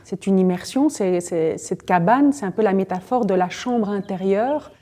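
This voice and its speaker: A woman's voice: mid-range voice, moderate pace, dark tone. A woman from Belgium, a poet speaking reflectively in an interview and searching for words with fillers.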